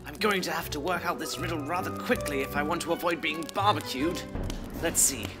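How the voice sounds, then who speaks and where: A man playing a plucky, excitable adventurer with theatrical exclamations in a children's audio adventure. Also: a little high, brisk, over music, natural voice. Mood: puzzling. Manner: theatrical, exclamatory, talks to himself.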